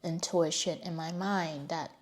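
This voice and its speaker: Slow-talking Chinese woman, reflective. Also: deep voice, fairly even intonation. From China. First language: Mandarin. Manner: reflective, unhurried, candid. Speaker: vlogger. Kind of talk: personal storytelling.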